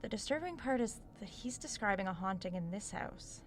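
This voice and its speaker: An actress playing a tired, uneasy author staying alone in a haunted house, speaking naturally with a guarded, hesitant manner in a horror audio drama. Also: female voice, medium pitch, expressive, a little fast, a little hiss or hum, natural voice. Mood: pensive, uneasy.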